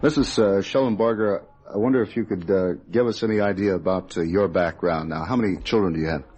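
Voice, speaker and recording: An American man with a low-pitched voice acts as a radio interviewer. He is formal and polite, and his questions come out haltingly, with hesitation as he forms them. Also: a little mellow, husky, dull audio.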